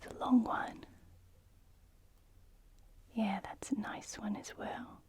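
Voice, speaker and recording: A woman speaking in a soft, breathy whisper, gently role-playing an attentive shop assistant for ASMR. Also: medium pitch, steady tone. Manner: gentle, unhurried, attentive to the customer.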